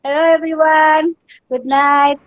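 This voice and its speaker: A woman's voice: sits high, flat delivery, a little slow, no echo, dull audio. A woman from Indonesia introducing herself on a call while cooking, giggly and laughing between words. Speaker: community member. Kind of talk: casual self-introduction.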